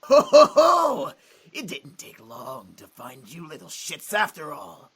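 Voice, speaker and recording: A woman voicing a menacing, foul-mouthed male demon thug for a visual novel, raspy and gravelly, with a taunting drawl. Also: wildly swooping pitch, slow pace, full character voice. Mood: mocking. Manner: taunting, drawling, swinging wildly in pitch. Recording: music behind the voice.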